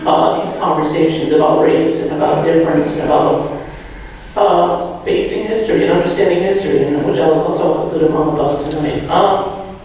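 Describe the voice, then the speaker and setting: A man in his thirties from Providence, slightly hoarse, giving a joking, self-deprecating public lecture full of fillers. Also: fairly high pitch, normal speed, darkish tone, slight background noise. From Providence, Rhode Island. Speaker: guest speaker. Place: large hall or auditorium. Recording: echoey hall, mic from far off.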